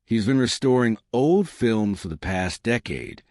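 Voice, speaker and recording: A man voicing a reserved, wistful woman in a story, speaking in a measured, matter-of-fact way. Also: lowish voice, big pitch swings, darkish tone, no room sound, studio quality. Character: reserved woman explaining her obsessive brother, wistful.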